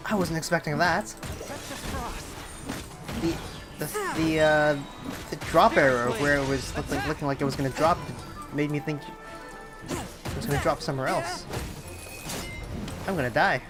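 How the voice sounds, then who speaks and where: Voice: medium pitch, normal speed, pauses often. A game streamer giving casual live commentary, rambling and often breaking off mid-thought while thinking aloud. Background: game music.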